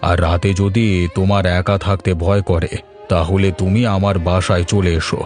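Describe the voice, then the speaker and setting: A man's voice: low voice, level tone, normal speed, darkish tone, soft background music, close to an everyday voice. A man voicing a middle-aged village man in a cartoon, with an earnest, dramatic delivery. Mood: calm, reassuring. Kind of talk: cartoon voice acting.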